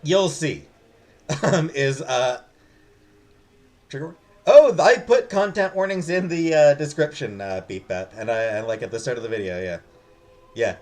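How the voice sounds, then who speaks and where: Animated man live-streaming a story game. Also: mid-range voice, moderate pace. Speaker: streamer reading a visual novel aloud. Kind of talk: casual live-stream chat mixed with read-aloud narration.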